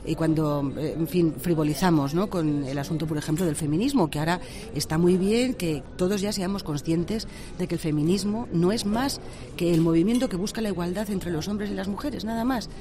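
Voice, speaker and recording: Warm earnest woman author. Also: lowish voice. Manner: earnest, thoughtful, hesitant pauses. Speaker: author interviewed. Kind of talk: reflective interview answers. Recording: faint music behind.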